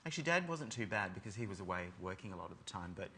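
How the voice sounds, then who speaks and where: A man's voice: sits low, big pitch swings, racing, noisy background. A man speaking on a discussion panel, hesitant and self-deprecating. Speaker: designer, panelist. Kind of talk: panel conversation.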